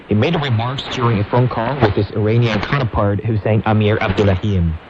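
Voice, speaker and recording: Male radio news anchor, lower register, scripted delivery, dull and noisy shortwave sound.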